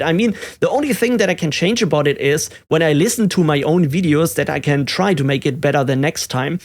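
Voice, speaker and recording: A man in his thirties speaking English with a German accent, his voice sitting a little higher than most men's. He talks candidly and thoughtfully, pausing on "uh" and "um" and restarting his sentences as he searches for words. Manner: reflective, with fillers and restarts. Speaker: tech YouTuber. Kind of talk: candid personal vlog.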